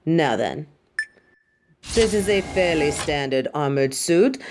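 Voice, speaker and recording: A woman's voice: sits low, lively intonation, slow, background noise. Woman playing a haughty, flamboyant superhero costume designer, swooping delivery. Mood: proud, showy. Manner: haughty, dramatic, doting, calls the listener darling. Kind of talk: theatrical roleplay monologue to the listener.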